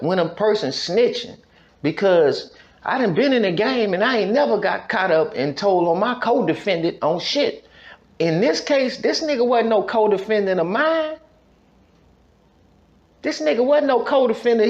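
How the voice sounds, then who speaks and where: American man, high voice, casual storytelling, emphatic and repetitive, street slang, dull muffled recording. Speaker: podcast storyteller. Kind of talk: casual personal storytelling with a message.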